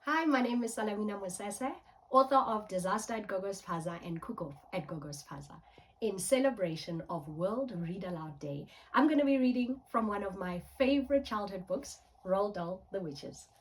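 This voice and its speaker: A woman's voice: medium pitch, animated, moderate pace, rough recording. A woman who writes children's books, reading a story aloud with dramatic storytelling delivery. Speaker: children's author.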